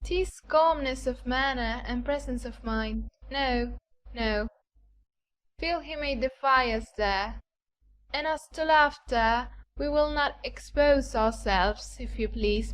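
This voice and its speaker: A woman's voice: on the high side, slow, fairly bright tone, slight background noise. A woman playing an affected, haughty, flirtatious Regency society lady in a dramatized audiobook, with a theatrical, genteel manner. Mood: coy, affected. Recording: music bed under the voice.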